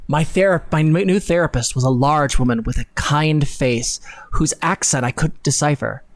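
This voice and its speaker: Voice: medium pitch, normal speed. A man doing a mock-dramatic reading on a live stream, theatrical and sarcastic, breaking off for casual, sweary asides. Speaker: co-host reading text aloud on a live stream. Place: indoor room at a computer, live stream.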